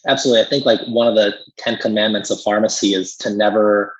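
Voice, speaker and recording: A man's voice: on the low side, fairly even intonation, quick pace, breathy edge. A man explaining things conversationally as a pharmacist guest in an interview, emphatic when he agrees, on slightly muffled audio. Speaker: critical care pharmacist guest.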